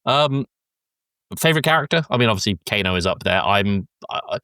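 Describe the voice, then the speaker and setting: A man's voice: lowish voice, animated, a little fast, sharp tone, clean tone, no room sound, clean studio sound. A man hosting a casual, informal film discussion, explaining things in a geeky way with some swearing. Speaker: podcast host.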